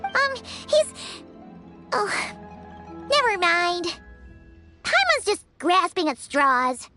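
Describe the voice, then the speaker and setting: Super high squeaky little fairy girl for a game, excitable, bouncy pitch, music behind. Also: very slow, full character voice. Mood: puzzled, chatty. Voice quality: squeaky, childlike. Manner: refers to herself in third person, chirpy. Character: small excitable childlike fairy companion girl. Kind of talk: video game voice acting.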